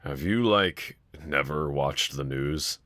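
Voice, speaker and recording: A very low-pitched, sardonic villain, acted out by a man in an intimate audio roleplay. He drawls casually and teasingly, with a bright, edgy tone and a quiet vulnerability underneath. The sound is close and dry. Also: takes it slowly, no echo, exaggerated voice. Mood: incredulous, sardonic. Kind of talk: fan audio roleplay, intimate one-sided dialogue.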